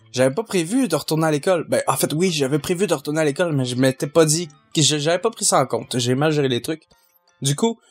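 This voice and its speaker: A young man with a Québécois French accent, a sound mixer answering fan questions, measured and hesitant with many fillers. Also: a little high. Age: young adult. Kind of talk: casual Q&A answers.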